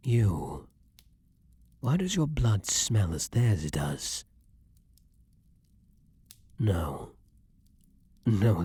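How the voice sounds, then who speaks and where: Man playing a breathy, brooding vampire king. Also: lowish voice, warm tone, no echo, heavily acted. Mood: shocked denial. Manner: formal archaic phrasing, dramatic pauses, breathy murmurs. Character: centuries-old vampire king, haughty, brooding, secretly romantic. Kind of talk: intimate ASMR roleplay monologue.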